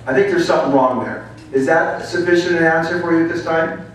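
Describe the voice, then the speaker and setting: A man's voice: on the high side, steady tone, fairly quick, far from the mic, slight background noise. Confident candidate man in an echoey hall. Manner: forceful, confident, salesman-like. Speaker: political candidate. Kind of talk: candidate answering at a public forum.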